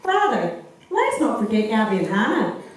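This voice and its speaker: A woman's voice: a little high, very animated, moderate pace. A woman playing a sweet, earnest young princess, declaimed in amateur pantomime style. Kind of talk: declaimed amateur stage acting. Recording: echoey hall, distant mic, background noise.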